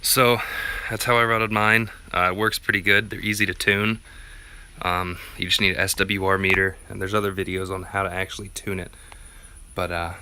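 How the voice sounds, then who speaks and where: A man with a very bright, forward voice walks through a DIY tutorial in a plainspoken, casual way. His tone stays flat and even throughout. There is some background noise on the handheld recording. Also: deep voice. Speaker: vlogger presenting a DIY gear tutorial. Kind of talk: casual unscripted how-to walkthrough.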